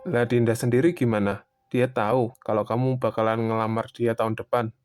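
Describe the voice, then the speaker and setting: A man playing a friendly older-brother figure, casual, teasing and full of advice, in an animated cartoon. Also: medium pitch, fairly quick, natural voice. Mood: friendly, curious. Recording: voice over prominent background music.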